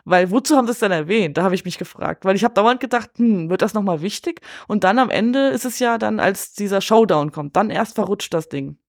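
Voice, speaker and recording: A woman's voice: mid-range voice, lively intonation, brisk, hardly any pauses, no echo, studio quality. A woman in a casual film discussion, speaking in a colloquial, blunt way as a podcast guest.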